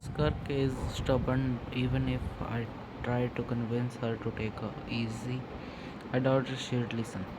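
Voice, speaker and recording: A man reading game dialogue aloud in a halting way, stumbling over words. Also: mid-range voice, level tone, loud music behind the voice. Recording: clearly noisy amateur mic pickup.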